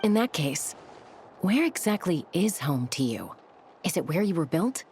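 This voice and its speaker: A woman's voice: fairly low pitch, very animated, unhurried, a little mellow, a little hiss or hum. A woman playing a frank, laid-back adult female ally in a video game, speaking casually. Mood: thoughtful. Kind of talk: game voice acting.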